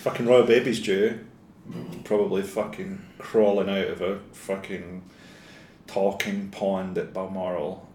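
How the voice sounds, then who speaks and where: A man riffing absurd, sweary jokes in deadpan style as a comedy podcast co-host. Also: deep voice, unhurried. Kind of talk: absurd improvised comedy chat. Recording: echoey room, faint noise.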